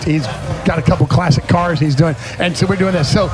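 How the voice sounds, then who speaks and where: Man, podcast host, high voice, chatty and rambling, unscripted, music behind him. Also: fairly even intonation, fairly quick. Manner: chatty, rambling, repeats phrases. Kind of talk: casual unscripted live chat. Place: busy car-themed restaurant, live on location.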